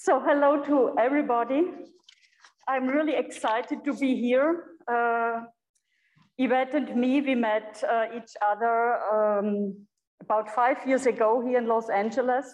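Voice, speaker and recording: A woman with a German accent, a native German speaker, giving an unscripted guest lecture to students, halting and searching for words with many fillers. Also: on the high side, steady tone, darkish tone. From Europe. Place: echoey lecture room. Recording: echoey hall.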